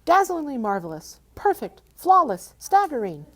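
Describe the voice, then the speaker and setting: Casting: timid little hobbit man, squeaky and flattering, read by a woman. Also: moderate pace.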